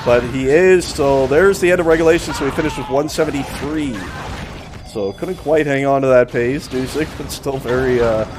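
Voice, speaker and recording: A man doing live play-by-play as an esports shoutcaster. He is losing his voice and bursts into excited shouting on big plays. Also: mid-range voice, moderate pace, over music.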